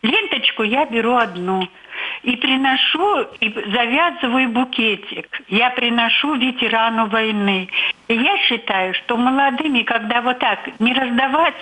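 A woman's voice: on the high side, expressive, a little slow, sharp tone. An eighty-year-old woman phoning in to a radio show, giving her opinion in a plain, earnest, apologetic way. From Saint Petersburg, Russia. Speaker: radio phone-in caller. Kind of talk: earnest opinion on a live call. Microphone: telephone. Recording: noisy telephone line on radio.

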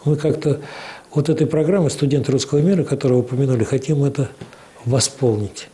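A man with a soft, dark-toned voice answers interview questions as an official. He explains things in a steady, measured way, often pausing on drawn-out hesitations. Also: medium pitch. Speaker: interview guest, cultural organization official.

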